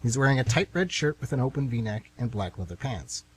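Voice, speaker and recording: A man's voice: mid-range voice, lively intonation, fairly quick, slight background noise. A man narrating and announcing a scripted radio theatre play.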